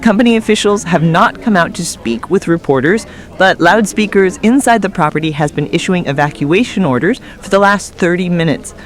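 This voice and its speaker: A woman's voice: on the low side, lively intonation, a little fast, lightly acted. A woman playing an uneasy TV field reporter giving serious live reports from an emergency scene. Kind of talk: live on-location news report.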